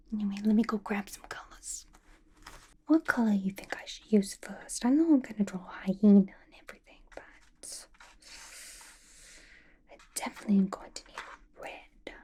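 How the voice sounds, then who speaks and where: A woman playing a young, playful, violent-minded female villain in an ASMR roleplay, gossiping to the listener in a chatty, conspiratorial breathy whisper. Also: medium pitch. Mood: playful, reassuring. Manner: chatty, conspiratorial, giggly, colloquial. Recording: clear background noise, soft clicks.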